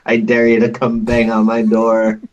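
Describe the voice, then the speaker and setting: A man's voice: fairly low pitch, monotone, moderate pace, dull audio, close to an everyday voice. A man voicing a cheeky, aggrieved killer robot with beeps, boops and taunting declarations in a prank call. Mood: defiant.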